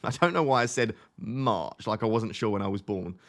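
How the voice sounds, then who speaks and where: A man's voice: fairly low pitch, lively intonation, normal speed, a bit bright. A young man in his twenties hosting a live stream, chatting casually and thinking aloud as he answers viewer questions. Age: 20s. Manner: thinks aloud in a chatty way, often restarting phrases.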